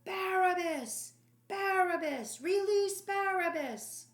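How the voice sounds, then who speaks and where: A vengeful storybook priest, voiced by a woman, pushing high and loud in an insistent chant. The drawn-out demands ring with room echo. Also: lively intonation, heavily acted. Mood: chanting, frenzied.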